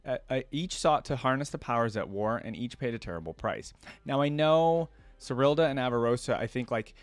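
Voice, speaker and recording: Male reaction host, medium pitch, informal and conversational between read-aloud passages, dry recording. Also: animated, fairly quick, dry recording. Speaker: host of a video game lore reaction series. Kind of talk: casual reaction commentary mixed with reading aloud.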